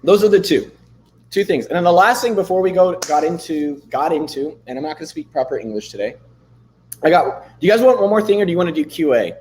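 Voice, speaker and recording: A man in his thirties hosting a live stream, chatting loosely and swearing casually, a bit hoarse from losing his voice. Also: fairly high pitch, lively intonation, fast, a little mellow, a little hiss or hum. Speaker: livestream host. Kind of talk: casual livestream chat with screen demos.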